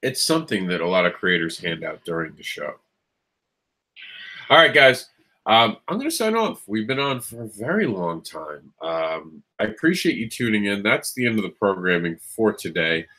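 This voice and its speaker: A man's voice: fairly low pitch, very animated, moderate pace, sharp tone. Nasal man with a lingering cold. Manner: rambling, many ums and restarts. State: has a cold, scratchy throat. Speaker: show host. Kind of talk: casual livestream chat.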